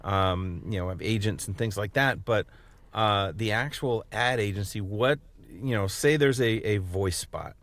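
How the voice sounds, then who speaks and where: Male podcast co-host, lowish pitch, conversational, halting delivery with repeated words. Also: fairly bright tone, no room sound. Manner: halting, repeats words while forming questions. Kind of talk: casual chat, interviewing.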